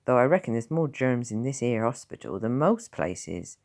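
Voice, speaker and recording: Woman reading as a blunt, kindly old countryman; low, animated, plain-spoken. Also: normal speed, exaggerated voice. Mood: gruff, plain. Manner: blunt rural dialect, calm.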